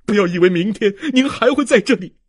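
A man voicing a passionate young gentleman in an audiobook, emphatic and declamatory, overwhelmed with grateful emotion. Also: sits high, animated, fairly quick, husky, voice pushed up. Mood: insistent.

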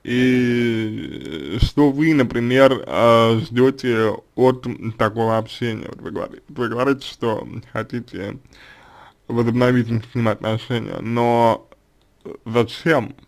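A middle-aged man offers unhurried advice in a plain mid-pitched voice. He talks slowly, searching for words with frequent fillers and self-corrections, circling back to rephrase. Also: vocal fry. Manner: slow, hesitant, many fillers and restarts. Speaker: psychologist answering a question. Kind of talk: spoken advice monologue.

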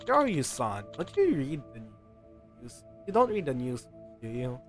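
A man's voice: medium pitch, wildly swooping pitch, a little slow. A man livestreaming a game, reading its dialogue aloud in a playful way, ad-libbing comments and stumbling over the odd line. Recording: game music behind the voice. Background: video game music.

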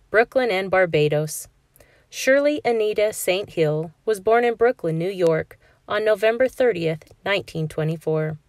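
A woman reading a children's picture book aloud in a clear, steady storytelling voice for a classroom. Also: lowish voice, dry recording, studio quality. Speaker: read-aloud narrator.

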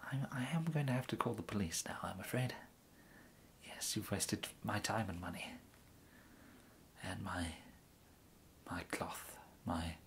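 Guy acting as a polite english tailor, breathy half-whispered asmr voice, hesitant, noisy recording with crinkly handling. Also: deep voice. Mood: regretful, put out. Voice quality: soft, breathy, often slipping into whisper. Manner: hesitant, courteous, murmuring. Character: polite, fussy English gentleman tailor serving a customer. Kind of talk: ASMR roleplay. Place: indoors, plain small room, close to camera.

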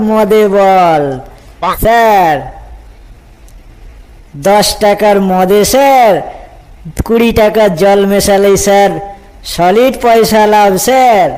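A man's voice: high-pitched, darkish tone, smooth, poor audio. A man voicing an eager, cheeky young schoolboy in a comedy cartoon, deferential to his teacher. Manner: deferential, says sir constantly.

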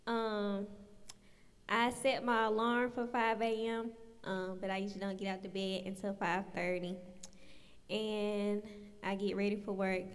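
A woman's voice: medium pitch, flat delivery, a little slow. A woman in her twenties answering a panel question in church, hesitant with frequent ums. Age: 20s. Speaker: panel guest. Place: church sanctuary. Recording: long reverb of a church hall.

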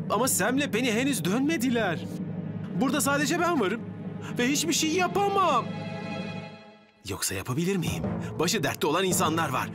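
An actor playing an earnest, slightly flustered young firefighter in a cartoon dub. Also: male voice, high voice. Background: music.

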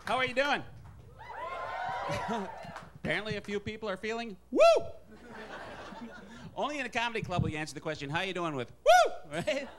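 A man from Wisconsin doing stand-up in a comedy club, with a nasal Wisconsin accent and an animated delivery full of put-on voices. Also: sits high, moderate pace, long pauses. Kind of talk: stand-up set. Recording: live club with crowd and noise. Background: audience laughter.

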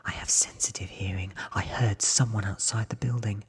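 A man voicing an ancient, watchful vampire woman in a soft, breathy, alert hush for an ASMR storybook reading. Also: lowish voice, fairly even intonation, moderate pace. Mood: alert, urgent.

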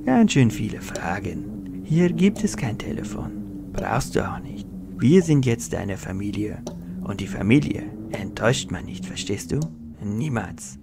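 An actor playing a menacing, sneering kidnapper in an audio drama, mocking, threatening and condescending. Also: male voice, fairly low pitch, big pitch swings, a little slow, gravelly, full character voice. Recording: noisy ambient background.

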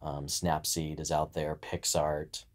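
A man's voice: rumbling low, completely flat delivery, slow pace. A man co-hosting a podcast in a casual, informal chat style, with a joking streak. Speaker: podcast co-host.

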